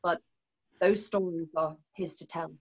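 A woman playing a calm, wise healer in a radio drama, speaking in measured, formal phrases. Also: fairly low pitch, slightly rough. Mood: calm, reflective. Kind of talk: online radio drama acting. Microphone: video call, home setup. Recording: dull lo-fi video call.

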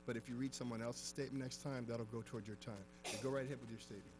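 A man acting as mayor, chairing a council meeting in a wood-paneled chamber, brisk and procedural, and firm when he interrupts. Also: mid-range voice, fairly even intonation, background noise. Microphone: desk microphone on the dais.

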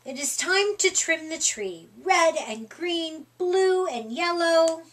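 Eager little girl puppy, played by a woman, storybook style, high and very animated, childlike exclamations. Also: slow, exaggerated voice. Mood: cheerful. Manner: exclamatory, childlike, simple short phrases. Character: eager, helpful young female puppy narrating her adventures. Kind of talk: children's picture-book reading.

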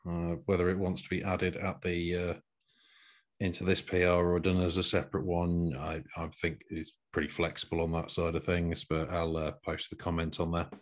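A man chairing a work meeting on a video call, speaking with hesitant pauses. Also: low-pitched, monotone. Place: small home room, video call.

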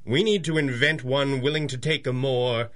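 Guy doing a commanding pulp radio hero, medium pitch, earnest and articulate. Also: moderate pace, fairly bright tone, natural voice. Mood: resolute, explaining. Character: confident, commanding masked male pulp hero. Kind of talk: 1930s radio acting.

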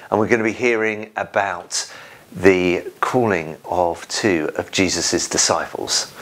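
A middle-aged minister leads worship at home. His voice sits fairly low and he goes very slowly. He is deliberate with each phrase of prayer and scripture, as a man guiding a congregation would be. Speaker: church minister leading worship. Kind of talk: church service, prayer and scripture reading. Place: small home conservatory.

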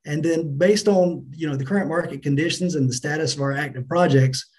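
A middle-aged man answering business interview questions as a company finance chief, in a measured, businesslike manner. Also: on the high side, darkish tone. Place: indoors on a remote video call.

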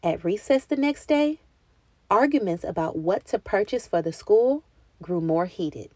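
A woman reading a children's picture book aloud in an expressive storytelling style. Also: mid-range voice, measured pace, no echo.

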